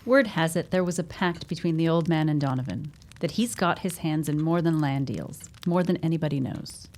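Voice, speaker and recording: A gossipy neighbour woman from a period audio drama, played by an actress. Her voice sits a little low. She speaks in a confiding, matter-of-fact way, knowing and suspicious of everyone. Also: moderate pace, a little mellow, natural voice. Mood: insistent, knowing.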